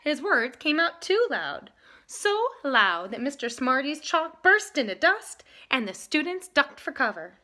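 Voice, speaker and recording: A woman teaching and reading a picture book aloud with emphatic, expressive delivery. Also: sits high, unhurried. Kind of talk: lesson talk and animated storybook reading.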